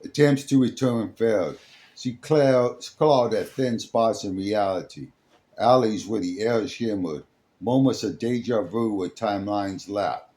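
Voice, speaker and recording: A man reading a dramatic scripted story as its narrator, in a halting way, sometimes stumbling over the words. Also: fairly low pitch, warm tone. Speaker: storyteller, host.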